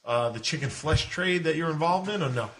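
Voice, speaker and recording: A man's voice: on the high side, animated, a little fast, fairly bright tone. A man hosting a comic panel, questioning a guest in a teasing, mock-formal way. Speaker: podcast host questioning a contestant.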